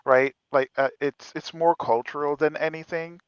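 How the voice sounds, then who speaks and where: A man with a smooth, resonant voice, explaining at length in a relaxed chat. He stretches some words out as he goes. The sound is dry and a bit dull, like a basic lo-fi mic. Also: on the high side, dry recording. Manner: explains at length, drawn-out phrases. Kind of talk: casual chat.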